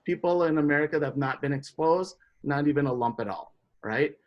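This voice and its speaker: This is an instructor from Indonesia teaching a live class. The delivery is explanatory and steady, pausing often to check in with the students before moving on. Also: mid-range voice, dull audio. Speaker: biology instructor. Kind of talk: classroom lecture.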